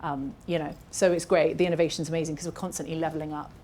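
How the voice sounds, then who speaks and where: A woman's voice: sits low, little pitch movement, very fast, darkish tone, slight background noise. A British woman on a makeup panel, talking casually and rambling with restarts.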